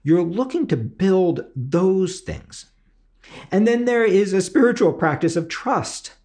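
A man's voice: high voice, animated, slow pace, darkish tone. A man hosting and teaching in a motivational podcast style, speaking emphatically with repetition and frequent rhetorical check-ins. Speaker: screenwriting teacher and podcast host.

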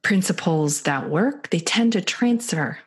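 A woman's voice: on the low side, lively intonation, measured pace, husky. A Canadian woman co-hosting a podcast, reflective and searching for words with many fillers. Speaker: podcast co-host. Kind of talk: casual podcast conversation.

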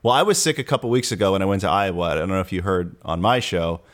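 A man's voice: low-pitched, expressive, fast talker. A man hosting a casual podcast, chatty and rambling with fillers and restarts. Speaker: podcast host.

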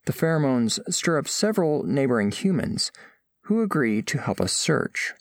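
A man's voice: mid-range voice, sing-song, moderate pace, no echo, studio quality. A man voicing an earnest alien scholar diplomat, narrating an audio drama in formal, stilted, precise phrasing. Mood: concerned, formal.

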